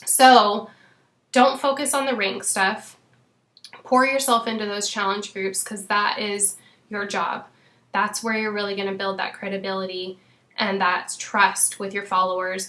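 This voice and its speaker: A woman in her thirties with a hoarse, fading voice talks casually to her coaching team, filling pauses with "um" and "you know". Her pitch sits in a middling range. The room around her sounds echoey. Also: fairly bright tone. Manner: chatty, frequent "um" and "you know". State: losing her voice. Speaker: fitness coach.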